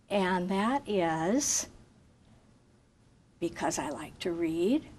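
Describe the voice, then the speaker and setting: A woman reading a picture book aloud to children in an expressive, chanting, sing-song way, engaging them with questions like a teacher. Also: mid-range voice. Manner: asks listeners questions like a teacher. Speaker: volunteer story reader for children.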